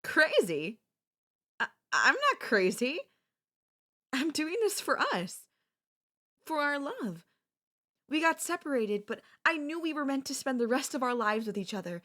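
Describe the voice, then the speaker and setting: A young woman playing an obsessive, possessive kidnapper and childhood friend in an ASMR audio roleplay, speaking in a soft, intimate, pleading manner. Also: high-pitched, big pitch swings, unhurried, long pauses, exaggerated voice. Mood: agitated, defensive.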